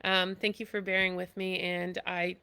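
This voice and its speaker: Nasal, bright-voiced woman chatting casually. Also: on the low side, flat delivery, moderate pace, clear, resonant tone. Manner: loose and chatty, many "you know" and "um" fillers. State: nasal from allergies. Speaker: military service member presenting school tips. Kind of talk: impromptu live video talk.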